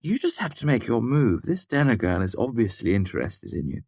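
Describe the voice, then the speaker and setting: A man voicing an excitable young male student in an audiobook, animated and given to exclaiming. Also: on the low side, a little fast, creaky, slightly rough, no room sound, clean studio sound, voice pushed up, full character voice. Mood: earnest.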